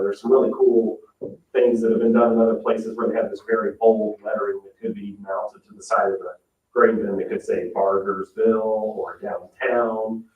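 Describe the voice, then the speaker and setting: A man speaking informally as a design consultant, explaining plans in a meeting. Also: fairly low pitch. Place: meeting room, mic at a distance. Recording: roomy, distant mic, dull sound.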